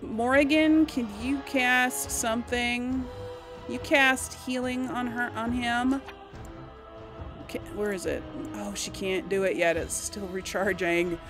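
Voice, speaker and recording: A woman giving casual, expressive gameplay commentary, reacting aloud and talking to the game's characters. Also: fairly high pitch, slow. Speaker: gamer narrating a role-play let's play. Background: game music behind the voice.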